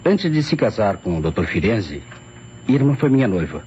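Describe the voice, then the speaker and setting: Low-voiced, defensive lawyer. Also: male voice, very animated, moderate pace, darkish tone. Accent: Brazilian. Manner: formal, explanatory. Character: defensive male lawyer, the wife's former fiancé. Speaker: actor in a radio mystery serial. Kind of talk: old Brazilian radio drama acting. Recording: muffled, noisy old radio recording.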